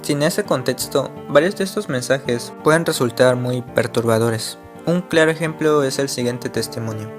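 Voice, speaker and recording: A man's voice: medium pitch, moderate pace, few pauses. A man narrating an internet mystery video in a scripted, explanatory way, asking the viewer rhetorical questions. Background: faint guitar music.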